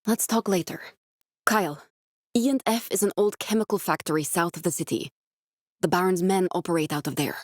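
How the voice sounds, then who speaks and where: An actress playing an earnest, guarded woman scientist in a video game, speaking in a measured, serious, instructive way. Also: lowish voice, no room sound, clean studio sound, close to an everyday voice. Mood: focused, briefing.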